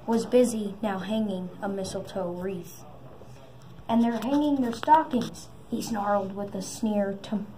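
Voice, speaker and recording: A woman reading a children's story aloud in halting phrases, sometimes repeating words. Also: mid-range voice, mellow. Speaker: storybook reader. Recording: noisy amateur recording.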